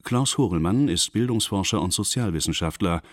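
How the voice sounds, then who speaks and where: A man's voice: low-pitched, expressive, fairly quick. A man announcing titles and introductions formally for a radio feature. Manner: formal, declaiming. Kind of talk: scripted announcement.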